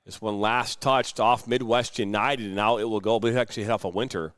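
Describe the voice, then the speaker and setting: A man's voice: on the low side, fairly even intonation, a bit bright, dry recording, clean studio sound. Chatty male soccer play-by-play caller. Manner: chatty, joking, quick laughs between calls. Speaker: play-by-play commentator. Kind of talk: live youth soccer commentary. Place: outdoor soccer field broadcast.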